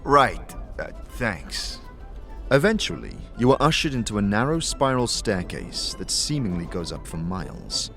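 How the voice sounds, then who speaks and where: Male narrator for fantasy audio drama, low and expressive, faint music underneath. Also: normal speed, few pauses. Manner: dramatic storytelling. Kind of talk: scripted second-person fantasy narration.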